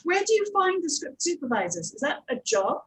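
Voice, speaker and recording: A woman's voice: very high-pitched, sing-song, fairly quick, fairly bright tone. A woman talking informally as a panelist and producer in a discussion. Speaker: panelist, audio drama producer and coach.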